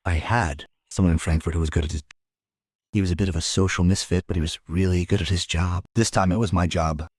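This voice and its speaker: A man playing a world-weary police detective, narrating a crime audio drama in a measured, musing way. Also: sits low, fairly even intonation, husky, dry recording. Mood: grave. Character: world-weary male police detective telling his case.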